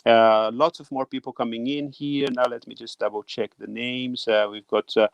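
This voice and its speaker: A man's voice: medium pitch, level tone, moderate pace, creaky, clear, resonant tone. A middle-aged Brazilian man with a Brazilian Portuguese accent, hosting a live stream in English in a casual, friendly way with many fillers. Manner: friendly, hesitant with fillers, reads out viewer names. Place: home room in Tokyo.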